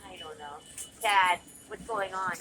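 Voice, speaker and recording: Female interviewee, higher register, short casual answers, recorded at a distance with clear traffic noise. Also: a little slow. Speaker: street interviewee. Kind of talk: casual, brief replies.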